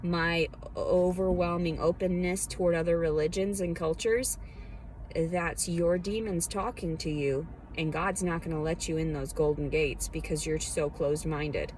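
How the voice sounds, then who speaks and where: A woman's voice: fairly low pitch, fairly even intonation, unhurried, a little mellow, a little hiss or hum, poor audio. A woman vlogger ranting to her viewers, heated and defensive as she vents her frustrations. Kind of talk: personal rant to viewers.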